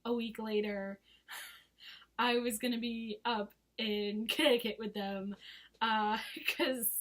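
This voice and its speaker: Hoarse girl in her 20s, high voice, rambly and giggly, tons of ums and likes. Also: fairly even intonation, slow pace, a bit bright. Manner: rambling and giggly, full of fillers. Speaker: vlogger. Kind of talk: casual chat to camera.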